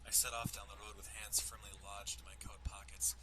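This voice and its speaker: A man's voice: fairly low pitch, flat delivery, fairly quick, very noisy. A man streaming a let's play, reading a visual novel's narration aloud with loose, casual asides. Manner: swears casually. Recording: loud music behind the voice. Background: loud music.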